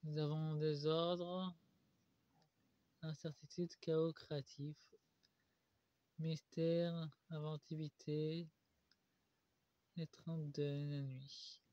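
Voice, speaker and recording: A man with a cold, doing a solo tarot card reading, slowed by many pauses and fillers. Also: on the high side, little pitch movement, no echo. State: stuffy with a cold. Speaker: tarot reader. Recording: dull lo-fi recording.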